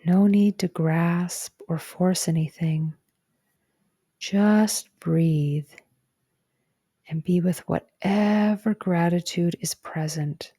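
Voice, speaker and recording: A woman narrating a guided meditation in a gentle, calm and soothing way, with soft breathy phrase endings. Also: lowish voice, slow, dry recording, studio quality. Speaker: psychologist guiding a meditation.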